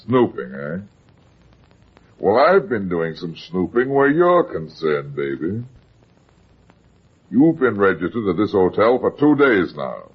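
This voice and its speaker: An actor playing a brusque, sardonic, wisecracking private detective in a vintage radio drama. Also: male voice, deep voice, big pitch swings, slow, pauses often, slightly rough, heavily acted. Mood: needling. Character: hard-boiled, wisecracking male private detective. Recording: muffled vintage radio recording.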